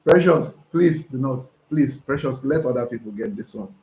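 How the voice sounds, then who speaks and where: A young Nigerian man with a slightly hoarse voice, coaching viewers live and reading their messages aloud, excitable and prone to restarts. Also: mid-range voice, moderate pace. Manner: excitable, repeats himself, stumbling restarts. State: hoarse from talking. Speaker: online business coach. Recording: roomy echo, faint background noise.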